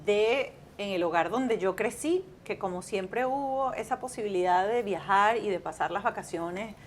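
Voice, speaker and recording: Venezuelan lady, tv host telling stories, chatty, trips over herself, faint low hum behind. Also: normal speed. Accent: Venezuelan Spanish. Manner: animated storyteller, many restarts and fillers. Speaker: guest, TV presenter. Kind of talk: casual interview chat.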